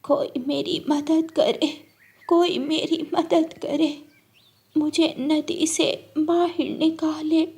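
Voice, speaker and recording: A woman's voice: sits high, flat delivery, drawn-out words, a little mellow, voice pushed up, heavily acted. A woman voicing an old motherly cartoon woman, plaintive, doting and grumbling. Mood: pleading.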